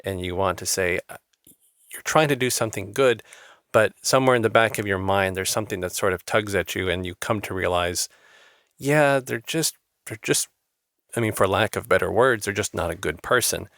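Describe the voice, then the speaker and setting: Lower-voiced earnest male interviewer. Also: moderate pace, fairly bright tone, dry recording, clean studio sound. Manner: rambling, repeats words, earnest. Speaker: interviewer, host. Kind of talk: conversational interview.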